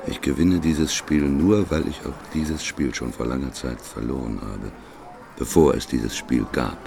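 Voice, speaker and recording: Very deep, creaky, enigmatic prophet. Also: male voice, a little slow, music in the background, heavily acted. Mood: calm, fatalistic. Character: enigmatic prophet, calm stubborn man who gambles with paradoxes. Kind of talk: radio play acting.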